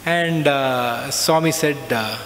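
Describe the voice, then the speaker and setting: A middle-aged man tells a reflective personal story, often pausing with "uh" and "so". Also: medium pitch, a bit bright. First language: not Hindi. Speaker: alumnus giving a talk. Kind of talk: personal spiritual talk. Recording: long reverb, faint background noise.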